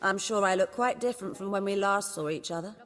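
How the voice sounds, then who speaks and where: A woman's voice: mid-range voice, fast talker, close to an everyday voice. A woman playing a flirtatious, courtly hostess of a pleasure house in a fantasy video game, with a theatrical way of talking. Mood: coy, welcoming. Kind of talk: dramatic video game dialogue.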